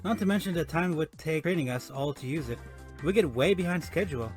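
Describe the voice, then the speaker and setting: A man voicing an exasperated young hyena office worker, arguing indignantly in an animated comic dub. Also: a little high, quick pace, faint music behind, lightly acted. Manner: indignant, argumentative.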